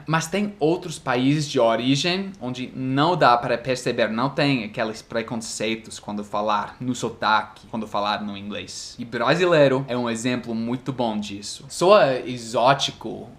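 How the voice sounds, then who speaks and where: A man's voice: mid-range voice, fairly bright tone. A man from the United States, a native English speaker with an American accent, chatting earnestly to his viewers in a casual vlog. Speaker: language teacher vlogger.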